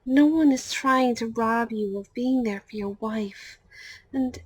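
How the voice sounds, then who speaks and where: Woman playing a slow, husky-voiced patient nurse. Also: a little high, no echo. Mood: calm, reassuring. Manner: polite, measured, formal. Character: patient, reassuring, professional hospital nurse. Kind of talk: scripted audio roleplay acting.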